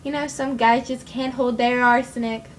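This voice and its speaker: A woman's voice: fairly high pitch, little pitch movement, moderate pace, slight background noise, close to an everyday voice. A woman doing a wry poisoner wife. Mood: sardonic. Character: sardonic woman who poisoned a lying husband.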